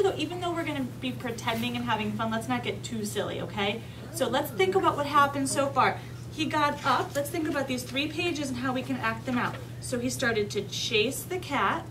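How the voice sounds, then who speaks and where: A woman teaching young children through an interactive read-aloud, coaxing them with simple instructions and questions. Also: fairly high pitch. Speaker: teacher reading aloud to kindergarteners. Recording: distant mic, noisy room.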